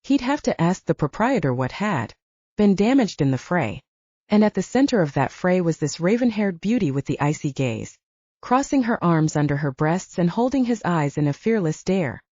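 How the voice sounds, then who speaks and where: A woman's voice: lowish voice, sing-song, moderate pace, darkish tone, dry recording. A woman narrating a paranormal romance audiobook as scripted storytelling, in a slightly dull, dry recording.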